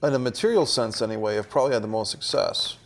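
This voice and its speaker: A man's voice: mid-range voice, quick pace, slight background noise. A man leading a casual table read discussion as director and host, encouraging as he talks through his notes.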